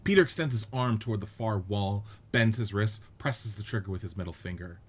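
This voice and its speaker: A man narrating stage directions in a measured, matter-of-fact way during a radio play script reading. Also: on the low side, slightly rough.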